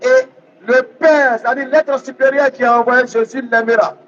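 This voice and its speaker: Guy preaching islam, really high voice, teaching hard and repeating lines, muffled lo-fi audio. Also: fairly even intonation, moderate pace, a bit bright. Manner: emphatic, didactic, repeats readings phrase by phrase. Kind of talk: public religious debate preaching. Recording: dull, lo-fi sound.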